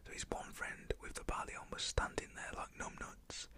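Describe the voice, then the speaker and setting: A young British man telling a personal story in a casual, slangy way, whispered in an ASMR style. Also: moderate pace.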